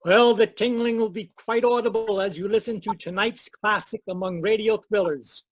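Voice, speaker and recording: A man's voice: high-pitched, fairly even intonation, moderate pace, no room sound. A man hosting an old-time radio mystery show with theatrical, formal scripted announcing. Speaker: host and announcer.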